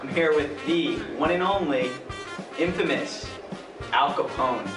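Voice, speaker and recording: A man's voice: a little high, measured pace, natural voice. A young man playing a casual, probing 1920s news reporter in an amateur mock radio interview. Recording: echoey distant mic under music. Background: instrumental music.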